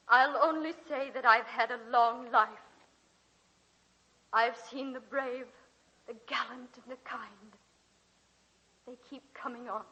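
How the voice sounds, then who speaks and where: An actress playing a retired college teacher over seventy, dry, tender and reflective, in a 1940s radio drama style. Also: female voice, on the high side, bright, forceful tone. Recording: dull vintage 1940s radio recording.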